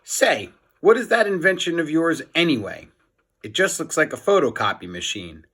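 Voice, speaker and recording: A man's voice: fairly high pitch, sing-song, moderate pace, fairly bright tone, breathy edge, pitched above the performer's own voice, heavily acted. A man voicing a cheeky, skeptical schoolboy prankster from a children's storybook, with a casual, teasing manner. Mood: curious, sly.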